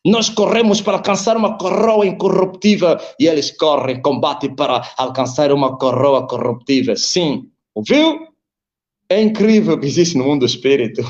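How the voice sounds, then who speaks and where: A man's voice: animated, normal speed, dull audio. A man, preaching emphatically on a live video call and quoting scripture. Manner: emphatic, swings high and low, quotes scripture. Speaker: apostle, preacher.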